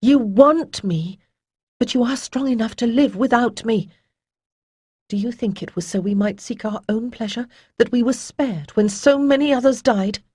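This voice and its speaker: Seilast, a steady young bride, as a woman reads her. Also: a little high, normal speed, breathy edge, no echo, studio quality, pitched above the performer's own voice. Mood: firm.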